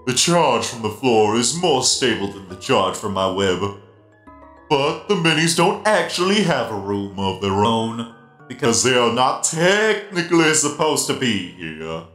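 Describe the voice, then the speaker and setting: A man's voice: mid-range voice, sing-song, measured pace, fairly bright tone. A man voicing a huge, friendly animatronic spider DJ for a comic dub, genial and playful as he explains things. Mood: playful, welcoming. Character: giant friendly half-blind animatronic spider DJ. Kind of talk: comic dub character acting. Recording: roomy echo, music behind.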